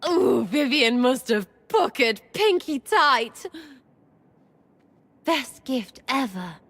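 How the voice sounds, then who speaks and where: A woman's voice: sits high, big pitch swings, takes it slowly, fairly bright tone, dry recording, heavily acted. An actress voicing a cheeky, irreverent young elf woman who teases and uses crude slang. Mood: giddy. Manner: playful, teasing, crude slang. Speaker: companion character.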